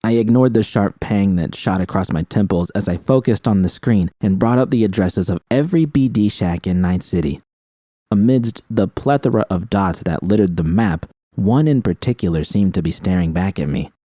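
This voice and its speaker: Male narrator, first-person fiction reading, dramatic delivery, low pitch, soft dark tone, dry close sound. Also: normal speed, dry recording. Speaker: audiobook narrator.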